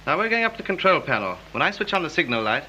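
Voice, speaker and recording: An actor playing a formal, methodical police inspector in a 1930s film, speaking with authority. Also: male voice, fairly high pitch, very animated, very fast, pushed and bright, exaggerated voice. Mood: brisk, formal. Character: brisk, methodical male police inspector addressing assembled suspects. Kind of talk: 1930s British film acting. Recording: tinny, hissy 1930s film soundtrack.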